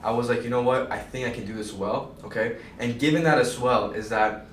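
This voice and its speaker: A man's voice: medium pitch, brisk, slight background noise. A twenty-year-old man, a realtor, explaining casually and checking in with "okay?" and "right?". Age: young adult. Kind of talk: casual group chat. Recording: echoey room, mic at a distance.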